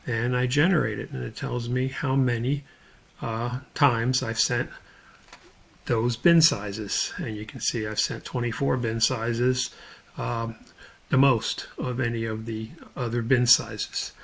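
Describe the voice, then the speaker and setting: A man with a gravelly, husky voice narrating an unscripted spreadsheet tutorial as an instructor, thinking aloud in a halting, step-by-step way. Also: mid-range voice, fairly even intonation.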